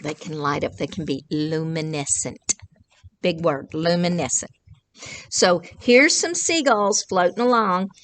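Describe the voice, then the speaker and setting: Slow-paced older woman reading to children. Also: fairly low pitch, fairly bright tone. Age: 60s. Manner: questioning, explains simply to young listeners. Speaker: children's librarian reading at storytime. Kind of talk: children's picture-book read-aloud with asides. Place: indoors, small decorated library room.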